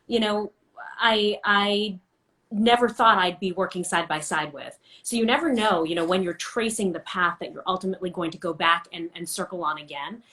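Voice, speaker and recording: Bright-voiced woman hosting a casual chat. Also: lowish voice, normal speed. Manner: chatty and personable, often teasing. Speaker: interview host. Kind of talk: casual, friendly interview chat.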